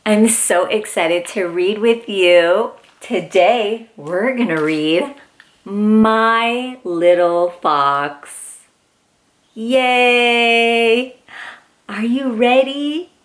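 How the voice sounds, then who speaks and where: A woman reading a picture book aloud to young children as a host, with excited, encouraging exclamations. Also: fairly high pitch.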